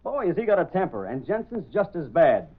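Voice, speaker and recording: Brash, lively newspaper reporter. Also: male voice, on the high side, brisk, breathy edge, exaggerated voice. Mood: eager, reporting. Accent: American. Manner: slangy, breezy. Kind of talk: 1930s-40s radio drama acting. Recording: tinny old radio recording.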